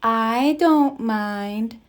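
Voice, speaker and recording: A woman voicing a shy, easygoing, sleepy little male skunk in a storybook, with a mild, drawn-out delivery. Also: on the high side. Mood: bashful.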